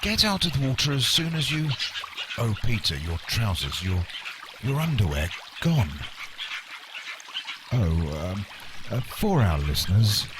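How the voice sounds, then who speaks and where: An actor playing an earnest, pedantic and squeamish broadcaster, describing things hesitantly for a mock radio documentary. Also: male voice, fairly low pitch, very animated, a little slow, noisy background. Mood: flustered, queasy. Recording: very loud jungle animals and water.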